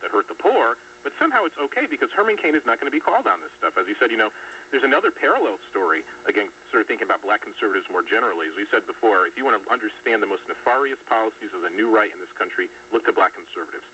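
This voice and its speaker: Man, guest commentator, fast, bright and emphatic, faint hum, dull far-off radio sound. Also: on the low side, very animated. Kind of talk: political talk radio discussion. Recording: distant, muffled radio rebroadcast with faint hum.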